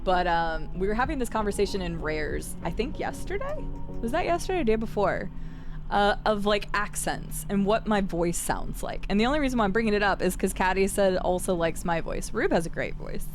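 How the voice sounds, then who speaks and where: A woman's voice: medium pitch, big pitch swings, brisk. A young woman with a Midwestern American accent, chatting casually with her stream chat as she plays, laughing often and swearing freely. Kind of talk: casual live-stream chat while playing. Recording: music playing behind the voice.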